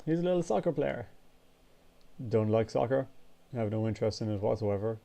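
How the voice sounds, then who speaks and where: A man's voice: lowish voice, brisk, vocal fry. A man, congested and sniffly, rambling casually in a vlog. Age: 20s. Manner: rambling, relaxed, casual swearing. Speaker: vlogger. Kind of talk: casual chat.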